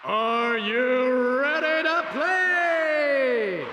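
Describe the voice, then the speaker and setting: High-pitched, booming game show announcer. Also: male voice, very slow, heavily acted. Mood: hyped, showy. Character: brash, showy game show announcer hyping a deadly contest. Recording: crowd cheering behind, arena noise.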